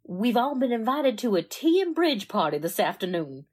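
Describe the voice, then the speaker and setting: A woman's voice: on the high side, big pitch swings, normal speed, a little mellow, slightly rough. A woman acting a chatty, flustered, homey small-town mother in a 1940s-style radio drama. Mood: chatty excited. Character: fussy, starstruck small-town mother and hostess.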